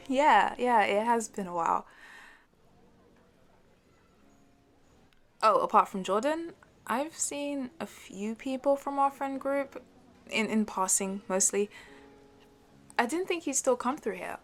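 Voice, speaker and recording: A woman's voice: fairly high pitch, animated. A young woman playing a sporty, competitive childhood best friend in an audio roleplay, with a playful, teasing, slightly hesitant manner. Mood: wistful, friendly. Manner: playful, teasing, with hesitant halting starts and giggles. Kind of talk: audio roleplay acting, one-sided conversation with listener.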